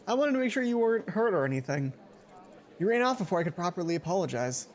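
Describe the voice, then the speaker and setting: A man's voice: sits high, big pitch swings, quick pace, a little mellow. A young man voicing a friendly, apologetic teenage schoolboy in a visual novel, easygoing and a little teasing. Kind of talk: visual novel character reading.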